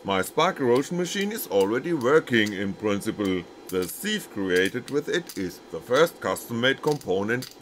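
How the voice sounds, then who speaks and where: A man's voice: mid-range voice, fairly bright tone, a little hiss or hum. A man reading scripted technical narration as a maker presenting his own project.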